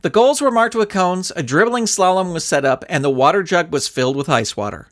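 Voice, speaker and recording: A man narrating a scripted comic story in an expressive storytelling manner. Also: sits high, brisk, dry recording, clean studio sound. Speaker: author and narrator.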